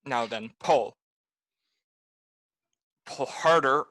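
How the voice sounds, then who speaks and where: Man acting a greedy bullying butler, mocking, bright edgy tone, middle pitch, old-time radio play. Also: extremely slow. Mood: scornful. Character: greedy scheming male butler, harsh and scornful. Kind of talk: 1930s-style radio drama acting.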